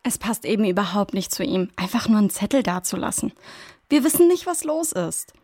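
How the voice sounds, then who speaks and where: A woman's voice: mid-range voice, very animated, brisk, dark tone. A woman playing a worried, resolute jungle station worker in a children's audio play, with an earnest, natural delivery. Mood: worried, insistent.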